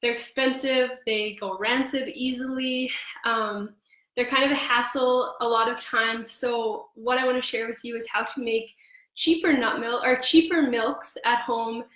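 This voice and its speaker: Slightly hoarse woman, bright and echoey. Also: fairly high pitch, a little slow. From Canada. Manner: chatty, relaxed, frequent ums. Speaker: host of a cooking livestream. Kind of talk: casual live stream chat. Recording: echoey room.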